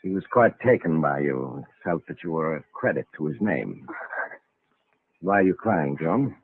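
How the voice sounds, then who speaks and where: An actor playing a solemn, suspicious estate lawyer with a formal, stiffly courteous manner in an old radio drama. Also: male voice, extremely low pitch, very animated, normal speed, a little hiss or hum, heavily acted. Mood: solemn, probing. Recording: vintage radio recording, narrow phone-like band.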